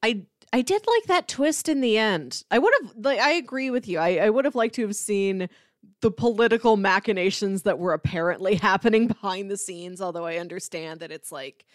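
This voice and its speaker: A woman's voice: medium pitch, expressive, a little fast, no room sound. A woman with a mid-range voice chats casually as a podcast co-host in a review discussion. Her voice is close and dry, with no room sound.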